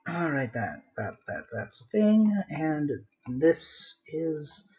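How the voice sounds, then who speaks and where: Male live-drawing streamer, unhurried and slightly high-pitched, casual self-talk with sing-song filler, slightly muffled audio. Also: very animated, darkish tone. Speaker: streamer, artist sketching live. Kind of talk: casual thinking-aloud commentary while drawing.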